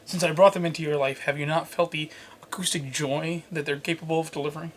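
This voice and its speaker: Higher-voiced man hosting a casual review. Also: fairly even intonation, quick pace, a little hiss or hum. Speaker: host and reviewer. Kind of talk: casual scripted product review with off-the-cuff banter.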